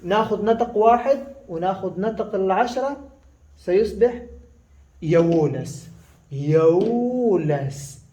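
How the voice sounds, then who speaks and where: A man with a high voice teaches a casual lesson, stopping often with fillers and restarts and going back over each word slowly. His first language is Pashto. The room around him is echoey. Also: warm tone. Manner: halting, with many fillers and restarts, repeating words slowly.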